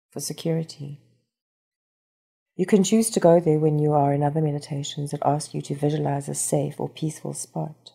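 A woman narrates a guided meditation with a mellow, dark tone. Her voice is breathy and husky, with a noticeable creak. She gives instructions calmly and soothingly, never hurried. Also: low-pitched. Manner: calm, soothing, unhurried instruction.

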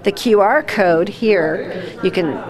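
A middle-aged woman with a medium-pitched voice shows visitors around a small shop. She explains the items in a casual, conversational way into a handheld recorder, with clear chatter behind her. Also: expressive, slow. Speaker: shop owner giving a store tour. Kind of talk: casual interview, explaining products. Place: small shop room, indoors. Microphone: handheld recorder with windscreen held by interviewer.

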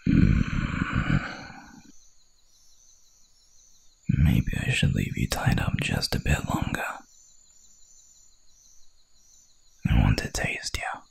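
Very deep, gravelly werewolf. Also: male voice, expressive, in a whisper, full character voice. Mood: hungry, seductive. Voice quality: gravelly, breathy growl. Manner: slow, seductive, menacing drawl. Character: hungry, dominant male werewolf hunter, menacing and teasing. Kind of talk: ASMR roleplay.

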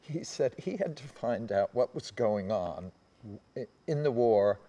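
A man in his seventies reminiscing as a panel guest on a theatre stage, speaking in a halting, tentative way. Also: mid-range voice, expressive, dark tone, dull audio. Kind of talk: conversational reminiscence.

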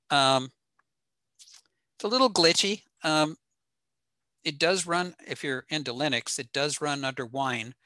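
Older male presenter, informal technical webinar, matter-of-fact delivery, bright tone, dry close sound. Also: mid-range voice, no echo. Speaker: presenter at an amateur radio talk. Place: small room at home, on a video call.

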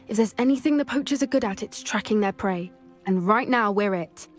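A woman's voice: medium pitch, brisk, soft background music, lightly acted. A woman voicing a student's inner monologue in a video game, musing uneasily. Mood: uneasy.

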